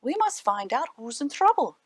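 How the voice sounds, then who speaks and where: A woman's voice: high-pitched, sing-song, moderate pace, no room sound, pitched above the performer's own voice. Woman playing a humble, kindly old man. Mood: concerned. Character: humble, kind-hearted old Irish village harpist man.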